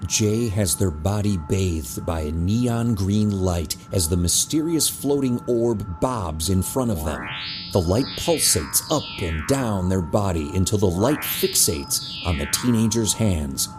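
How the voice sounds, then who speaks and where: A man as slow, deep, expressive storyteller. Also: over loud music. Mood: measured, dramatic. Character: male storyteller narrating a teen sci-fi adventure. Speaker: narrator. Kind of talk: scripted audio drama narration.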